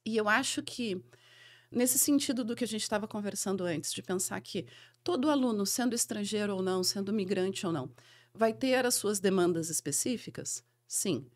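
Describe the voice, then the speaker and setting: A woman's voice: mid-range voice, moderate pace. Earnest woman professor, thinking aloud. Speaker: university professor. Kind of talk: conversational interview.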